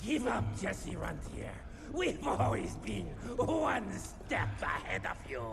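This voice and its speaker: A man's voice: high voice, huge pitch swings, creaky, over music, full character voice. A man playing a sinister vampire mastermind in a video game, mocking and theatrical. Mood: gloating, taunting. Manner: slow, mocking, theatrical taunting. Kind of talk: dramatic video game villain acting.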